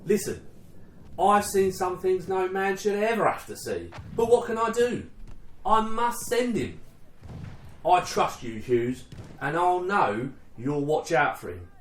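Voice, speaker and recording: Man acting a careworn British sergeant, highish, expressive. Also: a little slow, distant mic. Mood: pleading, grave. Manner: firm, earnest, paternal. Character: dutiful, careworn British army sergeant, protective of his men. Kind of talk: war radio play acting. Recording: roomy, off-mic, faint background noise.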